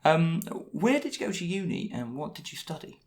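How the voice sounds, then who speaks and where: A man's voice: fairly high pitch, sing-song, a little hiss or hum. Male podcast host asking questions. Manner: brisk, scripted intro then questions. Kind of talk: podcast interview.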